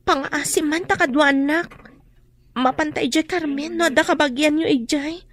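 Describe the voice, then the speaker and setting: Radio drama eldest daughter: very high voice, young, earnest, worried, responsible. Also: female voice, normal speed, fairly bright tone, slight background noise. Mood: anxious. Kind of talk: Ilocano radio drama acting.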